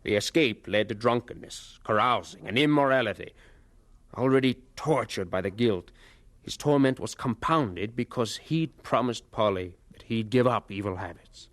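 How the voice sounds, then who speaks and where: A man's voice: on the low side, lively intonation, normal speed, fairly bright tone, no room sound. An actor playing a grown man who looks back on his boyhood, narrating an audio drama in a solemn, reflective way. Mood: sorrowful. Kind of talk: dramatized first-person narration.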